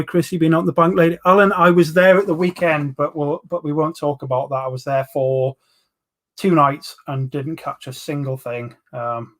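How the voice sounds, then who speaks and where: Man, 40s, London accent, rambling live-stream chat, filler-heavy, mid pitch. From England. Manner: chatty, rambling, full of ums, banters with viewers. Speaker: livestream host reviewing vape juices. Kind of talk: casual live chat reading viewer comments.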